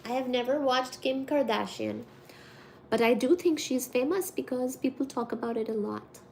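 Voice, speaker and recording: A woman in her thirties from India, chatting casually with her viewers on a livestream, giggly and quick to get excited reading the chat. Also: high-pitched, gravelly, slight background noise, low-quality recording. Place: home room with cat shelves.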